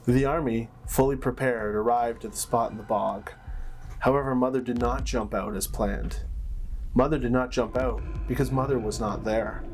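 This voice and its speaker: Man narrating fantasy lore over soft music. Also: medium pitch, steady tone, normal speed. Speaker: storyteller, game master and channel host. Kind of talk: dramatic fantasy lore narration with casual asides. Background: soft plucked-string music.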